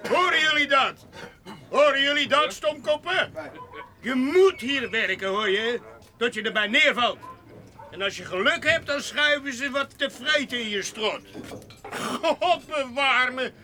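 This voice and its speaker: An actor playing a coarse, loudmouthed farmhand in a radio play, taunting and crude. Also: male voice, extremely high pitch, measured pace, heavily acted. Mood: jeering. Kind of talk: Dutch radio drama acting.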